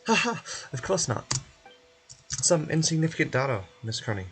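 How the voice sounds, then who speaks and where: A man's voice: on the high side, sing-song, moderate pace, fairly bright tone, soft background music. A man voicing an evasive, falsely cheerful institute official in a video game who stammers and blusters pompously. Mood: forced cheer.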